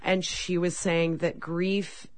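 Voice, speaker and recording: A woman musician with a raspy voice, chatting casually in an interview, friendly and laughing easily, with many fillers. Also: lowish voice, little pitch movement, slow pace, dry recording. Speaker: touring musician guest.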